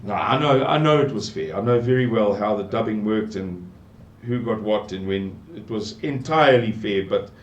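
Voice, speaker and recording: An older South African man with a South African English accent, slowly musing through a Bible passage and repeating words for emphasis. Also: fairly low pitch. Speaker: Bible teacher. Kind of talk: unhurried scripture commentary. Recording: echoey room.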